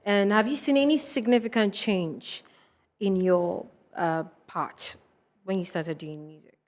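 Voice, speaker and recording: A woman hosting a TV studio discussion, chatty and quick to laugh between remarks. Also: medium pitch, a little mellow. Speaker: TV talk show host.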